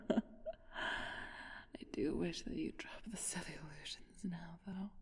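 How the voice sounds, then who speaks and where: A woman's voice: fairly low pitch, heavily acted. Woman acting a wounded, whispering vampire seductress. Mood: flirtatious, teasing. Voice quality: breathy, husky whisper. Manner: slow, teasing, haughty, with theatrical pauses. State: weakened, wounded, laboured breathing. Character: ancient flirtatious female vampire thief, haughty and vengeful. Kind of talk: whispered ASMR audio roleplay.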